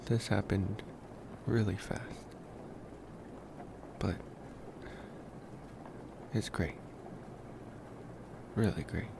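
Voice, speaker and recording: A young male vampire in love, played by a man, murmurs softly in an intimate ASMR roleplay. His low voice crackles with fry and moves very slowly, tender and soothing, with breaks between phrases. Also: exaggerated voice. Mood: happy, adoring. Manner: hushed, halting, soothing, affectionate. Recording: clear steady background ambient noise.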